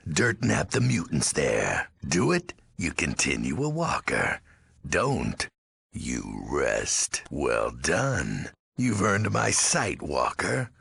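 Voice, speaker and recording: An actor playing a menacing, crazed undead ghoul gang boss in a video game, very raspy and gravelly, talking in clipped street slang. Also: male voice, rumbling low, sharp tone, no room sound, studio quality, heavily acted. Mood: gruff, commanding. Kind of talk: video game character acting.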